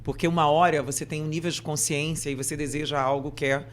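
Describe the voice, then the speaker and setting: A woman's voice: sits low, steady tone, quick pace, a little hiss or hum. A Brazilian woman hosting a podcast conversation, affirming what her guest says and elaborating on it. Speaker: podcast host. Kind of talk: conversational interview.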